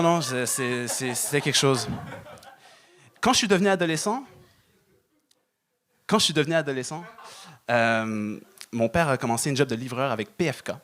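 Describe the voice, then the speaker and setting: Halting, deadpan young male comic. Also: mid-range voice. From Moroccan family. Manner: deadpan, halting with many fillers. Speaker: stand-up comedian. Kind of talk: stand-up set. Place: comedy club stage.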